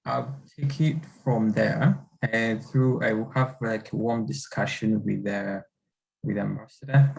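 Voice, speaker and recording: A man interviewing a guest in a halting way, full of fillers. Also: fairly low pitch, steady tone, gravelly, low-quality recording. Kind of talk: casual interview.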